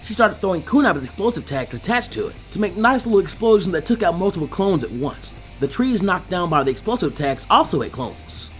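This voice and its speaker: A man narrates an action story in a high, lively voice that moves around a lot in pitch. He reads scripted prose as an audiobook, with faint music behind him. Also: a little fast, few pauses. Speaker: fan fiction reader.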